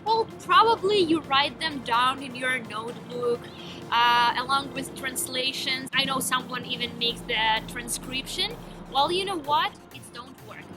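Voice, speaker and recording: A young woman with a Ukrainian accent speaks eagerly and with emphasis, her voice high and bright in pitch. She stops now and then to search for a word. Music plays clearly under her voice. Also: rough recording. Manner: eager, emphatic, with some hesitations. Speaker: vlogger. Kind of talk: enthusiastic to-camera vlog.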